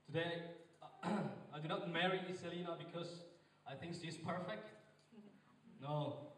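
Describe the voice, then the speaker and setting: A young man from Northeast India gives a heartfelt groom's speech in slow, halting phrases. His voice is bright and fairly high for a man. He sounds distant from the mic in a very echoey reception hall with faint noise behind him. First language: Mizo. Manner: heartfelt, halting, pauses between short phrases. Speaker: groom giving a wedding speech.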